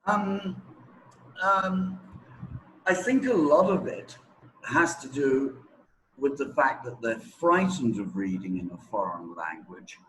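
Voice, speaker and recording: A middle-aged man giving opinionated, deliberate answers with long pauses in a conversational interview. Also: medium pitch, expressive, a little hiss or hum, poor audio. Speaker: English teaching expert being interviewed.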